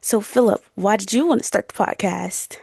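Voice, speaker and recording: College-age girl cohosting a podcast, lots of vocal fry, giggly n slangy, really dry close sound. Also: medium pitch, moderate pace, darkish tone, no room sound. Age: around 20. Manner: giggly, slangy, filler-heavy. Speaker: podcast co-host. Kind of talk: casual chat.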